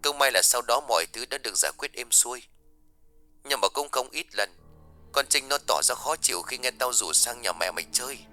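A man voicing an older village aunt, blunt and gossipy, who talks in a hushed, confiding way and rushes through her gossip. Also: medium pitch, lively intonation, soft background music, pitched above the performer's own voice.

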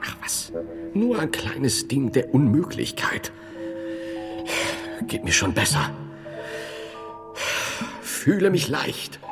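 An eccentric medieval master, dazed and gravely whimsical. His speech is slow and halting, with stammers and extremely animated swings of pitch. The radio play has music underneath. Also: heavily acted. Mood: halting, reflective. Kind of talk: German radio drama acting.

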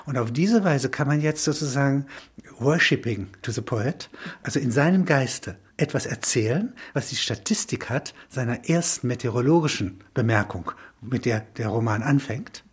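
A man with a markedly husky, breathy voice at an ordinary pitch speaks as a reflective intellectual in conversation. He muses in halting phrases, often checking himself with a short affirming word. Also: lively intonation. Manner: musing, halting phrases punctuated with "ja". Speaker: interviewee, filmmaker.

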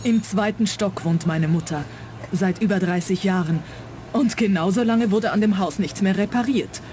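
A woman's voice: medium pitch, normal speed, dark tone, lightly acted. Actress as earnest, worried woman schoolteacher. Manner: earnest, concerned, correct. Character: worried, proper schoolteacher daughter hiring a detective. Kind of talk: radio play dialogue. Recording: faint background ambience noise.

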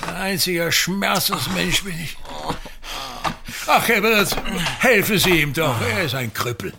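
A man's voice: high-pitched, lively intonation, measured pace, gravelly, background noise, heavily acted. An actor in a radio play as a pompous, self-pitying older theatre director and father, grandiloquent and complaining, repeating himself. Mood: irritable, suffering.

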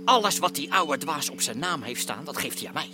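A man's voice: on the high side, expressive, brisk, over music, close to an everyday voice. Man acting a menacing, volatile crime boss. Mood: furious, demanding. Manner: threatening, sneering, demanding. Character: menacing male crime boss, greedy, vengeful extortionist. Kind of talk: audio drama acting.

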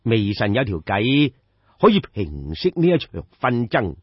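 A man with a Cantonese accent voicing a composed, shrewd court adviser of ancient China, measured and deferential, in an audio story. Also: lowish voice, big pitch swings, slightly rough, no echo, highs cut off, voice pushed down, full character voice. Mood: confident, persuasive.

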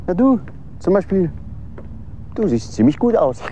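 A man's voice: high-pitched, big pitch swings, measured pace, warm tone. A man acting in a German radio drama as a hopeful, naive young would-be film director, earnest and friendly. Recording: clear outdoor street and traffic noise. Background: city street ambience with vehicles.

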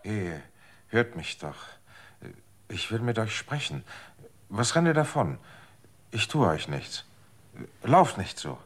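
A man's voice: lowish voice, very animated, slow pace, long pauses, background noise. An actor playing a desperate, manipulative man in a radio drama, coaxing and then threatening. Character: desperate, manipulative man seeking longer life.

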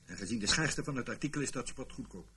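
A man's voice: mid-range voice, expressive, quick pace, bright, forceful tone, a little hiss or hum. A man acting in a radio play as a reluctant, shrewd mercenary, speaking in a dramatic, sardonic way. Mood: cool, sardonic. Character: reluctant, shrewd mercenary male impersonator recruited by spies.

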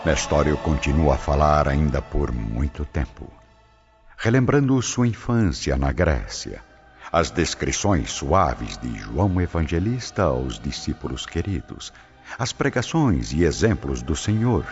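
A man narrating a radio drama in a dramatic, expressive style. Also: a bass voice, measured pace. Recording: muffled audio with loud background music.

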